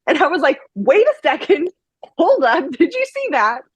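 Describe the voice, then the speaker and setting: A woman's voice: high voice, sing-song, normal speed, no echo. A woman chatting casually and enthusiastically as a co-host of a book discussion, peppering her talk with "like". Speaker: book review show co-host.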